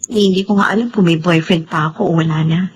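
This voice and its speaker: An actress playing a grieving, betrayed young woman in an emotional Filipino drama, with a slow, tearful delivery. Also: lowish voice, husky. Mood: bitter. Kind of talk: Filipino radio-style melodrama acting.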